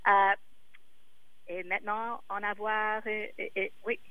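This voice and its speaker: English-speaking singer lady, slow careful second-language talk, lots of pauses, muffled phone-ish sound. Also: medium pitch, little pitch movement, clear, resonant tone. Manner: hesitant, searching for words.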